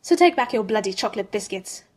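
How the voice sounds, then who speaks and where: A woman's voice: medium pitch, lively intonation, fast, lightly acted. A woman voicing a scornful young wizard. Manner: scornful, clipped and cutting. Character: sneering proud young male wizard.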